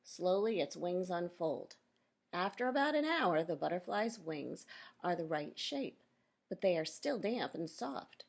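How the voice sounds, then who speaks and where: A woman reading a children's nonfiction book aloud as a teacher, pausing to explain and question her young listeners directly. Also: fairly low pitch, very animated, gravelly.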